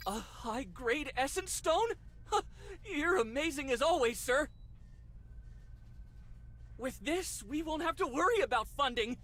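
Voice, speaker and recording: A woman's voice: high-pitched, lively intonation, unhurried, pauses often, a bit bright. An actress playing an admiring young female assistant in a video game, polite and deferential toward her superior. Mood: admiring, curious.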